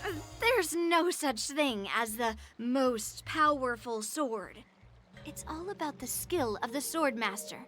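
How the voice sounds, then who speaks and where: A woman's voice: sits high, lively intonation, slow, fairly bright tone, exaggerated voice. An actress playing a brash, proud and competitive young girl sword master in a video game, blunt and challenging. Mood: boastful. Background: game music.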